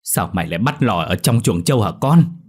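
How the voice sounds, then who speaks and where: A man's voice: on the low side, lively intonation, fast talker, breathy edge. Man voicing a grave, protective village elder. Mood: alarmed questioning. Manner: grave, bitter, firm.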